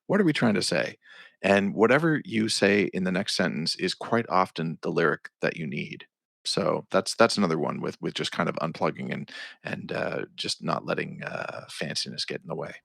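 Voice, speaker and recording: A man's voice: low-pitched, moderate pace, vocal fry, dry recording, studio quality. A middle-aged Canadian man, a songwriter and producer, chatting in an interview and acting out quotes as he tells stories. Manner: animated storytelling, acting out quotes, restarts. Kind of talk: casual interview chat.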